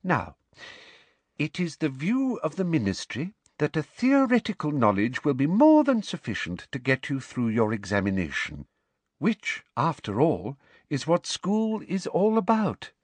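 A smug schoolmistress in an audiobook, acted out by a man, pitched high and swooping through her phrases. The voice is sugary and trilling, precisely enunciated, with a condescending, dismissive edge. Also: huge pitch swings, measured pace, no echo, full character voice. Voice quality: honeyed, trilling. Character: smug, sickly-sweet middle-aged female teacher and ministry official. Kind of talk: audiobook character voice.

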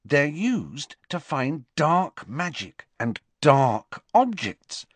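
Know-it-all teenage girl as read by a male narrator, lecturing, lively, close. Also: mid-range voice, slightly rough, dry recording, pitched above the performer's own voice. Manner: brisk and lecturing. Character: clever, bossy teenage schoolgirl, scornful.

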